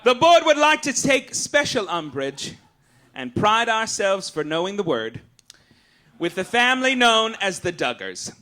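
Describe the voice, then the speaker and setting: A man's voice: high voice, very animated, measured pace, bright, forceful tone. A man performing satirical stand-up on stage as a show host, with a campy, arch, theatrical delivery, reading mock-official announcements. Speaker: comedian hosting a live show. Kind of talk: satirical stand-up monologue read as mock-official rulings to a live audience. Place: on stage at a live cabaret venue.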